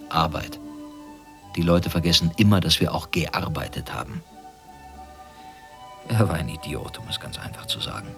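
A man playing a smug, menacing former secret-police interrogator in a radio play, insinuating and deliberate. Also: low voice, soft background music. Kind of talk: German radio play acting.

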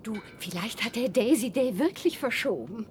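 A woman's voice: on the high side, lively intonation, moderate pace, a little mellow, soft background music, lightly acted. An actress playing a frightened, fretful middle-aged mother in a radio drama, anxious and exclamatory. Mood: worried. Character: frightened, fretful mother stranded with her husband.

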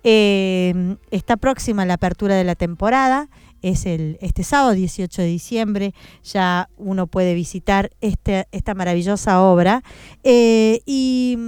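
A woman's voice: mid-range voice, expressive, a little slow, clean tone, dry recording. A woman hosting a radio program, chatting informally with a co-host. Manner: warm, informal, talks to co-host as "compa". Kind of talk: conversational radio chat.

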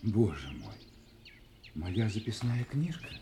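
An actor playing a suave, secretive husband with a volatile edge in an audio play, speaking in theatrical, silky tones. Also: male voice, fairly low pitch, sing-song, a little hiss or hum, exaggerated voice. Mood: smooth, evasive. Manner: theatrical, silky endearments, drawn-out hesitations, sudden sharp outbursts. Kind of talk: Russian audio play acting.

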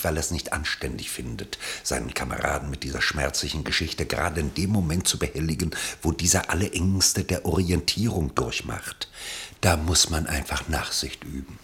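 A man with a husky, gravelly voice narrating a literary radio play from a script. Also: extremely low pitch, a bit bright.

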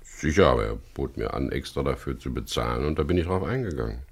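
An actor playing a guarded, plain-spoken English skipper in a radio crime drama, measured and laconic, narrating his own story and talking in dialogue. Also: expressive, full character voice. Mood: cautious. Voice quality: gravelly, very low voice. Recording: 1970s radio drama production.

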